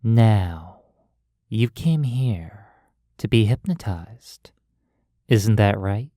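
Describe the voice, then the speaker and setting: Hypnotist for guided sleep imagery: soothing, deliberate, very slow delivery with long pauses, very dry sound. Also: mid-range voice, expressive, dark tone, no room sound. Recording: faint ticking clicks behind dry close voice. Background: ticking pocket watch.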